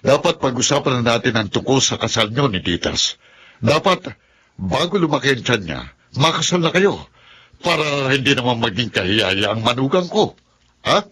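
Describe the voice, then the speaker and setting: A man's voice: medium pitch, sing-song, normal speed, bright, forceful tone, slightly rough, full character voice. A Filipino actor playing an authoritative middle-aged father questioning his son in a radio drama, probing and emphatic. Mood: pleased, eager. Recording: faint noise and ambience.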